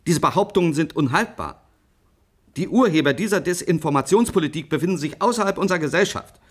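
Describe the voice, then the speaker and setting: An actor playing a dignified older professor in a radio play, formal, deliberate and self-assured. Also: male voice, a little high, animated. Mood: stern, self-assured. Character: dignified, authoritative older male professor, renowned scientist. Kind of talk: radio play acting as an interview.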